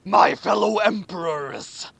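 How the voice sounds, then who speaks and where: A formal old emperor, voiced by a male reader, declaiming accusations in a parody story. The voice is bright and fairly high for a man. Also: slow, vocal fry, husky, dry recording, full character voice. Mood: stern, accusing.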